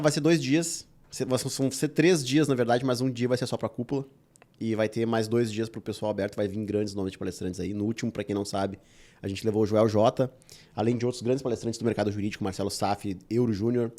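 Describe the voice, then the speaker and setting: A man's voice: lowish voice, fairly even intonation, darkish tone. A man hosting a podcast, talking enthusiastically and turning to the listeners. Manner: quick, enthusiastic, addresses listeners. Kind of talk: casual podcast chat.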